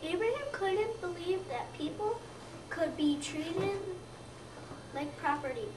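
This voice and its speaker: A young child of about seven or eight, a second grader, reading a scripted narration aloud in a careful, halting way. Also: very high voice, animated. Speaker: second-grade student reader. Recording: roomy, distant mic, noisy background.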